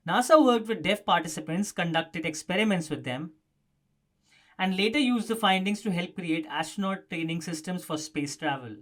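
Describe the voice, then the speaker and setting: A man's voice: high voice, expressive, normal speed, fairly bright tone. A man presenting a scripted explanatory news story as a narrator.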